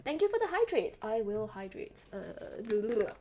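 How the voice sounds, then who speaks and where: A young woman from Singapore with a softened Singaporean accent, chatting casually with her stream chat, rambling with lots of fillers and laughs. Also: mid-range voice, big pitch swings, normal speed, a little hiss or hum. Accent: Singaporean, softened toward Western English.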